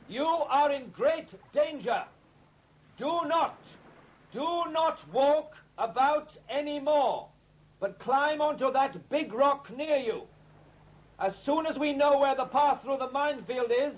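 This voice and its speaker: An actor playing an urgent, authoritative police superintendent calling out in a 1970s radio drama. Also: male voice, very high-pitched, slow. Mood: urgent warning. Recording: faintly noisy old radio recording.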